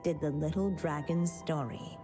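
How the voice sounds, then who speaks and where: A woman playing a solemn, tender witch mother, telling a storybook tale in measured, formal words in a video game. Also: deep voice, natural voice. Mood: solemn narration. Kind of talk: scripted storybook narration in a game. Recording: game audio with faint music.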